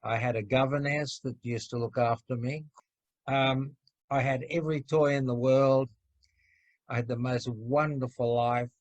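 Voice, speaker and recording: A man's voice: mid-range voice, steady tone, measured pace, no echo, highs cut off. A man in his eighties from Slovakia giving frank personal testimony over a video call, stumbling on repeats. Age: 80s. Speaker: Holocaust survivor interviewee.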